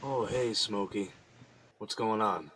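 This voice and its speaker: Guy playing earnest tired inmate, low voice w a crisp bright edge, reflective, audio drama. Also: takes it slowly, slight background noise. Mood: weary, uncertain. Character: earnest, weary male defendant held in jail.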